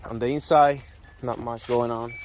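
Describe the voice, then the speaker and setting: A young man from Puerto Rico with a Puerto Rican Spanish accent, a native Spanish speaker, walking around his pickup truck outdoors and explaining it in a casual, halting way, often searching for words and restarting. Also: medium pitch, fairly even intonation, noisy background. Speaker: car owner showing his truck.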